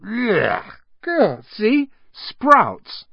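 A male narrator acts out a young British boy for a storybook. He is chatty and blunt with a grumbling streak, and his raised voice moves about in animated swings. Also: on the high side, moderate pace, no echo, lo-fi sound, pitched above the performer's own voice. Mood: disgusted.

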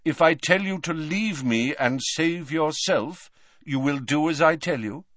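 A man voicing a grave, courteous old wizard headmaster in an audiobook, measured, formal and authoritative. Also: on the high side, a bit bright, no echo, clean studio sound, voice pushed up. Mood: grave, commanding. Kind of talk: dramatised audiobook reading.

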